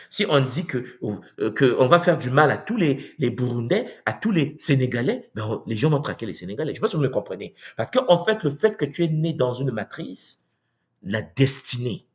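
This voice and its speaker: Animated Congolese man lecturing live. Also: medium pitch. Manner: animated, rhetorical questions, acts out quoted voices. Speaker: speaker on spiritual tradition. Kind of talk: live talk addressing viewers. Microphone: livestream mic.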